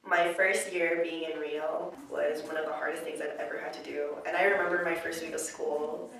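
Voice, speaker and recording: Young woman, college student, first language Chinese, low voice, reflective, lots of fillers, echoey room. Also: fairly even intonation, normal speed, creaky, crowd noise. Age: young adult. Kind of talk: casual interview answers. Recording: echoey room, mic far off.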